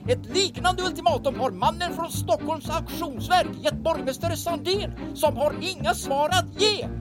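A woman reading a dramatic scripted recap as the narrator of a serial. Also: high-pitched. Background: prominent music.

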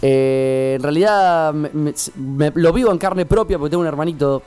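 Slangy Argentine man, fairly high voice. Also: normal speed, darkish tone, smooth. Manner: slangy, crude, stumbling anecdotal delivery. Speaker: radio show co-host. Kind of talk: casual radio chat. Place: radio studio. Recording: music playing behind the voice.

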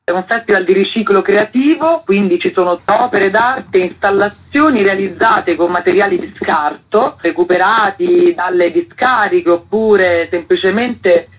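A woman's voice: medium pitch, a little slow, few pauses, husky. A woman speaking as an interview guest, explaining things in articulate, flowing sentences. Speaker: arts festival director, interview guest. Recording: dull, band-limited phone-in sound.

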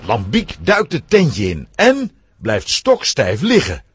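A man's voice: medium pitch, wildly swooping pitch, slow, slightly rough, no room sound. A man narrating a scripted children's story.

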